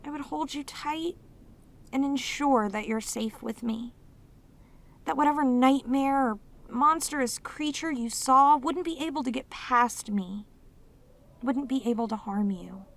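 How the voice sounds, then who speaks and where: Woman voicing a slow-talking, devoted yandere demoness. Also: sits high, creaky, fairly bright tone, heavily acted. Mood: tender, pleading. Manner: tender, halting, slow, intensely devoted. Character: sweet, devoted, clingy yandere demoness, long sealed away. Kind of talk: romantic audio roleplay, ASMR acting.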